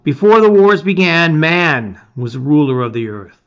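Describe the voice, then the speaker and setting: A middle-aged man presenting and reading aloud with expressive emphasis, his phrases often trailing off softly. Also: fairly high pitch, unhurried. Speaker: host reading a gamebook aloud. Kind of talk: casual intro and dramatic read-aloud of storybook narration. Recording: slightly dull, lo-fi sound.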